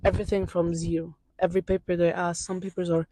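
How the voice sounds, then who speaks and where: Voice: medium pitch, level tone, fast talker, mellow. A student from Syria answering interview questions on a campus outdoors, speaking hesitantly and searching for words.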